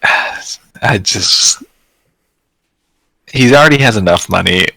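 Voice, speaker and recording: Male podcast co-host, low dry voice, hesitant chatty football talk. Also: lively intonation, slow, vocal fry, bright, forceful tone, no room sound, poor audio. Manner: hesitant, trails off with fillers and laughs. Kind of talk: casual sports chat.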